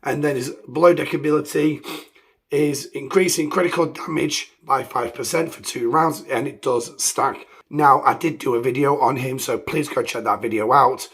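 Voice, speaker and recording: Croaky, gravelly man reviewing a game. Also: mid-range voice, steady tone, normal speed, fairly bright tone. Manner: rambling, with many ums and self-corrections. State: croaky voice from illness. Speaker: gaming channel host. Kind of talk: casual game review commentary.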